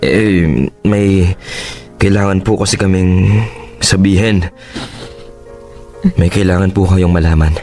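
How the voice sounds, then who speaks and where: An actor playing a nervous young Filipino boyfriend, earnest, hesitant and deferential, in a radio drama. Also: male voice, deep voice, takes it slowly, long pauses, darkish tone. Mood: nervous, apologetic. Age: young adult. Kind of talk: Tagalog radio drama acting. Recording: faint music bed behind voice.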